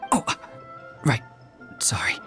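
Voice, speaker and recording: A man's voice: a little slow, bright, forceful tone. A man playing an uneasy, gossipy townsman of modest rank in a video game. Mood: sheepish. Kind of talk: English video game voice acting. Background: music.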